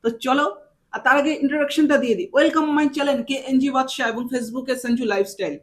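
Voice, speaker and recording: A man's voice: extremely high pitch, fast, fairly bright tone. A man hosting a prank phone call, chatting casually in a teasing, needling, mock-lovelorn way. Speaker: prank-call video host.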